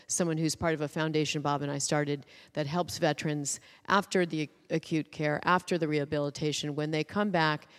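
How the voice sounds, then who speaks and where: Low, even-voiced woman in a reverberant hall. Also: a little fast, hardly any pauses. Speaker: panel speaker, co-founder of a veterans' charity. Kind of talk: introductory remarks at a public panel.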